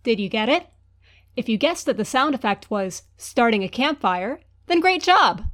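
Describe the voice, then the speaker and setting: A woman's voice: fairly high pitch, lively intonation, normal speed, a bit bright. A woman presenting a quiz segment as a guest, explaining step by step. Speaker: guest Foley artist.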